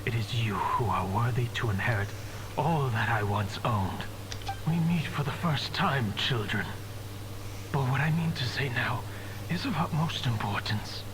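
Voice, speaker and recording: A man playing a weary, despairing scholar's lingering spirit in a video game, speaking formally and gravely in measured phrases. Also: medium pitch, animated, background noise, lightly acted. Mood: solemn welcome, then gracious, grave. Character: weary, despairing scholar's lingering mind fragment, grandfatherly, solemn. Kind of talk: video game dramatic monologue. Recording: game music behind.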